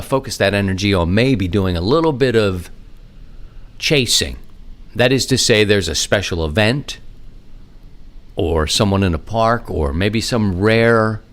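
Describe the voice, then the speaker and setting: A middle-aged man with a fairly low voice hosts a podcast on his own. He talks casually and conversationally, wandering from one thought to the next with animated asides. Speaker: amateur radio podcast host. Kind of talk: casual solo podcast monologue.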